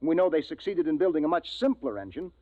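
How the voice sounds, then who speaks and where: An actor playing a strained, authoritative spaceship captain in a 1950s radio science fiction drama. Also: male voice, a little high, very animated, dry recording. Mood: firm, explaining. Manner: brisk, authoritative, clipped orders. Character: commanding, strained male spaceship captain, also narrating. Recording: tinny vintage radio recording with music.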